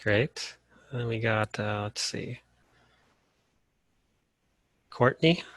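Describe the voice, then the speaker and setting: A man from Colorado leading a casual online class over a video call, relaxed and full of "uh" fillers. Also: lowish voice, slow, dry recording. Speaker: course instructor.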